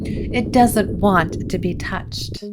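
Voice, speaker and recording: A woman acts out a quiet, self-possessed woman in a gothic audio drama. She speaks slowly, with a hushed and faraway air. Music plays clearly behind her. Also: mid-range voice. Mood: dreamy, soft. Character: quiet, assured, dreamy woman of gothic occult shop.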